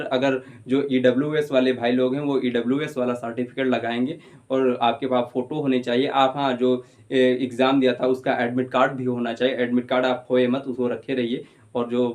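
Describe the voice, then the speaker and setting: A man's voice: mid-range voice, darkish tone. A young man gives an informal update to viewers, addressing them like brothers and repeating his points reassuringly. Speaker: exam-update video host.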